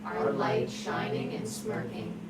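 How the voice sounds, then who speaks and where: A woman's voice: extremely low pitch, lively intonation, slow pace, a loud crowd, exaggerated voice. A woman voicing cruel, gloating stars of the night sky, declaiming with menace in a table read. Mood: gloating, menacing. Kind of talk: dramatic table read of poetic script. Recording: roomy, distant mic, loud hum.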